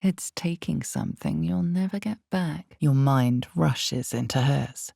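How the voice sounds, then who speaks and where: A very low-pitched female narrator of a dark fantasy game, with a heavily gravelled, creaking voice and a slow pace. She is solemn and brooding, drawing out the suspense. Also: no echo, clean studio sound, full character voice. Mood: ominous, brooding. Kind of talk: scripted dramatic second-person fantasy game narration.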